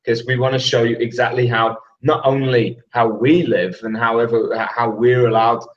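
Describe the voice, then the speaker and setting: A man co-hosts a live web presentation from far away, chatting to viewers in a loose, friendly way with lots of fillers. His voice sounds distant from the mic and dull, as if it is coming through a remote call. His pitch is ordinary. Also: flat delivery, moderate pace. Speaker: co-host, business partner. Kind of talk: casual live-stream presentation. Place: Saigon, Vietnam, at night.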